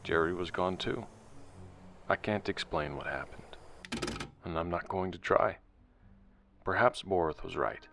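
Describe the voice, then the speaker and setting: A man's voice: sits low, a little slow, gravelly, long pauses, fairly bright tone, a little hiss or hum, heavily acted. A man playing a somber investigator, narrating an eerie case in the first person in a sci-fi audio drama. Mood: somber, mystified.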